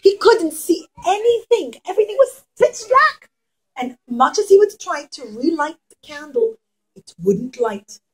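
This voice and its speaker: High-voiced woman telling children a story. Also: big pitch swings, poor audio. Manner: dramatic, with emphatic pauses and questions to listeners. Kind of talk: dramatic storytelling to young children.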